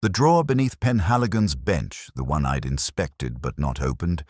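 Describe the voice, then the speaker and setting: A man playing a reflective, melancholy police inspector, narrating a mystery audio drama in a measured, literary way. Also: very deep voice, lively intonation, darkish tone, no room sound, clean studio sound. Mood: pensive, watchful.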